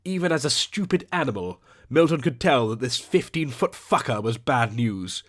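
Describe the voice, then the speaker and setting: A man's voice: mid-range voice, expressive, normal speed. A man narrating a scripted audiobook adventure in a theatrical, descriptive storytelling manner.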